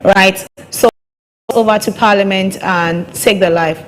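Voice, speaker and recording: A woman's voice: medium pitch, steady tone, a little slow, poor audio. A woman co-hosting a live broadcast, talking in a loose conversational way with many fillers. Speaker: radio talk show host.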